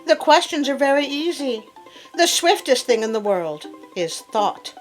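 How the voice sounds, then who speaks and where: A woman voicing a clever, confident young innkeeper's daughter in a storybook, calm and matter-of-fact. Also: high-pitched, unhurried, faint music behind, voice pushed up. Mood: calm, reassuring.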